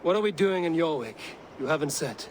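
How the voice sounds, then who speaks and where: An actor playing a blunt, honest Viking raider hero who talks in a firm, plain way. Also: male voice, a little high, expressive, brisk, slight background noise, natural voice.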